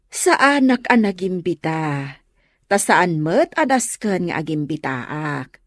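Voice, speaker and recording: A woman's voice: on the low side, very animated, takes it slowly, no echo, clean studio sound. A woman with an Ilocano Filipino accent playing a plain-spoken, hospitable village woman in an audio comedy-drama. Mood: placating.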